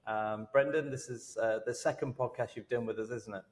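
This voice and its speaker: A man hosting a podcast interview, friendly and halting, with many ums and uhs. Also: medium pitch, fairly even intonation, in a large hall. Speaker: podcast host.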